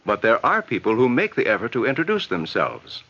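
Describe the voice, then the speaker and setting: An American man reading scripted, instructive narration in a measured, advising way for a 1960s educational film. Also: medium pitch, wildly swooping pitch, background noise. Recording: dull vintage 1960s film soundtrack.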